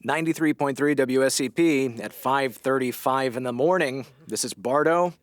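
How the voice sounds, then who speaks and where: A man's voice: medium pitch, moderate pace, a little hiss or hum, lightly acted. A man playing a wry, loyal radio station colleague in a comedy audio drama, with a teasing, sardonic manner.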